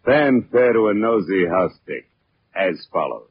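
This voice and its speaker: An actor playing a pompous, sneering bandleader spoiling for a fight, haughty and mocking, in 1940s radio drama. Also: male voice, deep voice, lively intonation, a little slow, slight background noise. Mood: sneering, menacing. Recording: muffled, clicky old radio recording.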